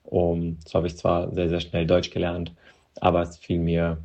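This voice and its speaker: A man from Moldova whose first language is Russian, an interview guest talking hesitantly with many pauses and restarts. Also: low-pitched, completely flat delivery, a little mellow. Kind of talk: personal interview account.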